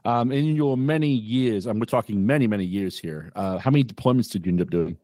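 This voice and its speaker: Male podcast host; low voice, brisk and formal questions, dry sound. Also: warm tone, no echo. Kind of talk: interview hosting.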